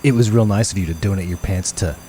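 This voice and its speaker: A man's voice: sits low, fast, over a noisy crowd, pitched below the performer's own voice, lightly acted. A man voicing a cheeky schoolboy who mocks a humiliated bully, in an audiobook. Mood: mocking. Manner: mocking, jeering.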